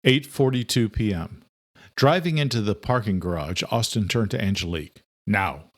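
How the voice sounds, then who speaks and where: A man's voice: lowish voice, normal speed. A man reading scripted dramatic narration as an audiobook narrator.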